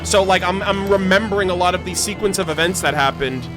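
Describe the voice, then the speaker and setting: A man's voice: high voice, quick pace, music in the background. A man hosting a reaction video, giving casual, slangy commentary full of exclamations.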